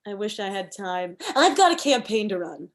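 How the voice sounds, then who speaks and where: A woman's voice: medium pitch, big pitch swings, moderate pace. A woman playing a pushy, combative thirty-year-old campaign manager in a comedy table read, arguing emphatically. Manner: argumentative, emphatic.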